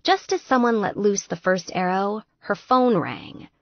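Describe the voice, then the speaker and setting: Woman, audiobook narrator, expressive fiction reading, animated intonation, dry and slightly dull recording. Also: medium pitch, unhurried, no room sound.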